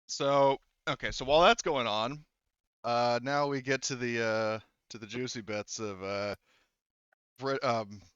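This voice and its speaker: A man's voice: mid-range voice, fairly even intonation, unhurried, fairly bright tone, clear, resonant tone, no room sound, dull audio. A man acting as game master, talking through a tabletop roleplaying scene informally and thinking aloud. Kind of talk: casual tabletop roleplaying narration and rules talk.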